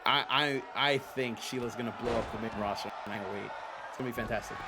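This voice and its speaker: A man whose first language is Spanish, hosting a live stream and commentating a simulated wrestling game in an excitable, chatty way. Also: mid-range voice, fast. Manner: excitable, chatty, reads viewer comments aloud. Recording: noisy background.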